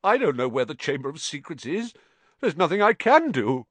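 A man's voice: a little high, very animated, normal speed, no echo, pitched above the performer's own voice. A man doing a pompous, preening wizard professor. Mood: weak. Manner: affected, condescending, theatrical.

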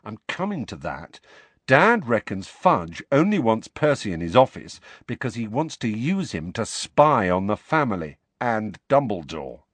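A frank, glum teenage boy, played by a man reading a storybook, talking casually and bluntly. His delivery is animated with a wide pitch range. The sound is close and dry. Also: unhurried, breathy edge, no echo, full character voice. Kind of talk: audiobook character voice.

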